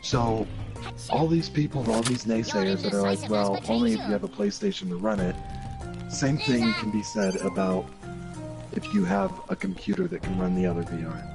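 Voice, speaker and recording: A man's voice: on the low side, very animated, normal speed, gravelly. A man giving opinions in a casual, argumentative gaming chat, mimicking the people he disagrees with. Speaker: gaming show co-host. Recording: loud music behind the voice. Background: music.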